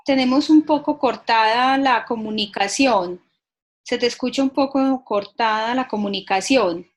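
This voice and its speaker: Woman host, fairly high voice, polite and formal, a little hesitant. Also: measured pace. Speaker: host, moderator. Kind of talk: video conference introduction.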